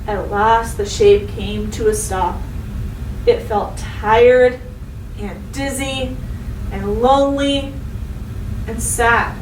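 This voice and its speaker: High-voiced woman teacher reading to kids. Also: slow pace, long pauses. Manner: expressive, instructive, addresses young listeners directly. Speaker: elementary school teacher. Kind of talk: read-aloud storytelling and lesson for children. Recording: roomy echo, distant mic, faint noise.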